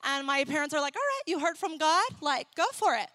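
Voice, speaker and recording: Bright, high-voiced woman preaching. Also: a little fast, no room sound. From grew up in Ecuador. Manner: animated, candid storytelling with laughs and acted asides. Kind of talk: sermon with personal story.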